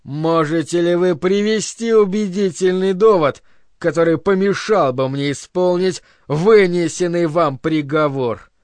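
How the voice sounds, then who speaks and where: A man's voice: sits high, expressive, measured pace, no room sound. A man voicing a pompous, severe male director who passes judgement majestically, in an audiobook. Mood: majestic.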